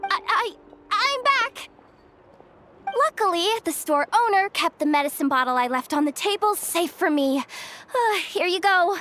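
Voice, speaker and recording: An excitable young working girl for a video game. She has a very high, swooping voice and gushes breezily with small stammers, though her words come out unhurried. Music is clearly audible behind her. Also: full character voice. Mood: flustered. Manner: gushing, breezy, slightly stammering.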